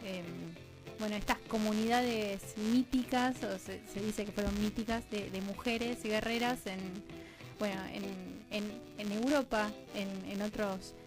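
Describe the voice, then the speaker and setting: A woman with an Argentine accent explaining things conversationally as an interview guest, halting and correcting herself as she goes. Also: mid-range voice, fairly even intonation, rough recording. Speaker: interview guest, radio drama scriptwriter. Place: radio studio. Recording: music clearly playing behind voice.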